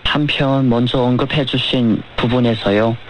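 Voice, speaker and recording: A man's voice: mid-range voice, steady tone, moderate pace. Man on noisy shortwave radio. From North Korea. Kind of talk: measured radio talk and letter reading.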